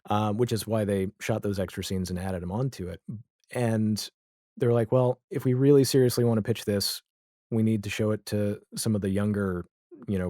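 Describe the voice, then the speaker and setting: A man's voice: on the low side, mellow, dry recording, clean studio sound. A man talking casually as a podcast guest, telling stories in an informal, opinionated way. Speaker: podcast guest, audio drama creator. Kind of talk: casual interview chat.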